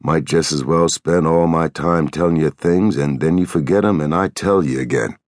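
A man voicing a small, irritable, bossy American drifter in an audiobook, with gruff, scolding, folksy speech. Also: a bass voice, normal speed, no echo, clean studio sound. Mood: exasperated.

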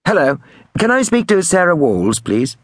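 A man voicing a cheeky young male prank caller from a storybook, with a Liverpool accent and mock-innocent, quick-fire questions. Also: fairly high pitch, huge pitch swings, no echo, studio quality, voice pushed up, heavily acted. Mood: mischievous. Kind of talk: acted storybook dialogue.

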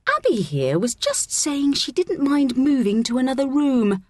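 A woman's voice: sits high, big pitch swings, normal speed, no echo, voice pushed up. A woman voicing a rude, bossy eleven-year-old schoolgirl in an audiobook, with a sneering manner. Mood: fake sweet. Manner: sneering, hissing, fake-sweet to adults.

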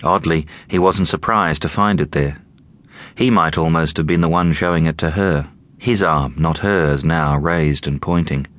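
A man narrating an audiobook in a measured, deliberate storytelling manner. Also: very deep voice, a bit bright.

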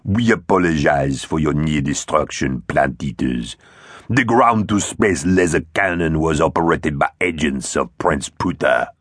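Mean dinosaur guard captain for a kids story, low croaky snarl, bossy and formal. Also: male voice, measured pace, dry recording, clean studio sound. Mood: cold, formal. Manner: formal, commanding. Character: menacing dinosaur palace guard captain, gruff and curt.

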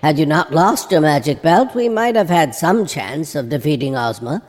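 A man's voice: on the high side, expressive, moderate pace, a little mellow. A man playing an insolent, self-assured gnome army general in an audio drama, speaking with blunt dismissiveness. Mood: scornful. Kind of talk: full-cast audio drama acting. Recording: long reverberant hall echo.

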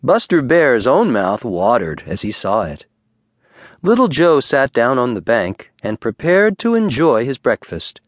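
A man reads a children's bedtime story with very lively, swooping intonation. His storytelling is expressive and deliberate, recorded dry and close. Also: mid-range voice, dry recording. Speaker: audiobook narrator.

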